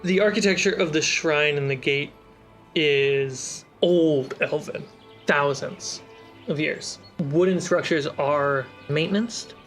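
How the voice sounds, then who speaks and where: A game master narrates a tabletop fantasy game. The voice sits at a middle pitch, building scenes in short, halting, descriptive phrases. Soft music runs underneath.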